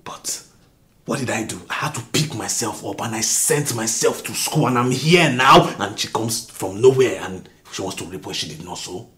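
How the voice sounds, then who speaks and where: A man playing a bitter self-made husband with a Nigerian accent. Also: normal speed. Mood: angry. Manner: swings from low mutters to shouted rants. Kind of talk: melodrama acting.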